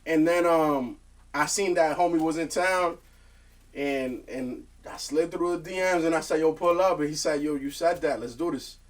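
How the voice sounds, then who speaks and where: A man hosting a podcast in casual, slangy talk, full of joking and hype shout-outs. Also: fairly high pitch, normal speed. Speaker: podcast host. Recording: off-mic, distant room sound.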